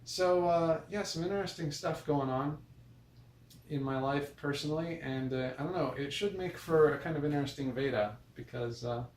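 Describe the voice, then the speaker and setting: A man's voice: a little high, normal speed. An American man talking in a casual, rambling vlog style, hesitant and often correcting himself as he goes. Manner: hesitant, meandering, self-correcting. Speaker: video blogger. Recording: roomy, distant mic with noise.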